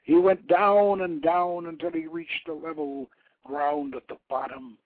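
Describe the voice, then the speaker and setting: A man reading a story aloud as a narrator, in a theatrical, solemn manner that moves between hushed tones and swelling emphasis. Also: on the high side, fairly even intonation, a little slow, dry recording. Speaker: host reading his own novelette. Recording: phone-like internet radio sound.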